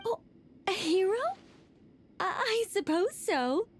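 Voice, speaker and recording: A woman's voice: very high voice, lively intonation, takes it slowly, slight background noise, exaggerated voice. A woman playing a genteel, modest but enthusiastic lady sculptor in a video game, speaking coyly and expressively. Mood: pleased. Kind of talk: video game character acting.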